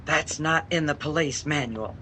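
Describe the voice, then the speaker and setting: A woman plays a plainclothes policewoman with a bright, crisp voice and a dry, wry way of answering. She tosses off her lines with a wisecracking edge, in the style of an old radio play. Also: sits low, moderate pace, a little hiss or hum. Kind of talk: 1940s radio drama acting.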